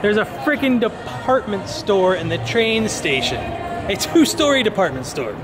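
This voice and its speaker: A man in his thirties vlogging casually, excitable and wisecracking, filming himself at arm's length in a large train station. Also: high-pitched, moderate pace, loud music behind the voice. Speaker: travel vlogger. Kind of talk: casual vlog narration. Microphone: handheld camera at arm's length. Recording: loud crowd noise behind. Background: crowd chatter and station bustle.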